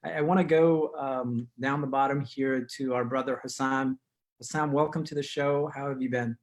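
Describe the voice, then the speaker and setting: A man with an average-pitched voice hosts a community talk show from his living room over a video call. He introduces and questions guests in a formal, measured announcer's way, leaving pauses as he goes. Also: fairly even intonation. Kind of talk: scripted-sounding show introduction and interviewing.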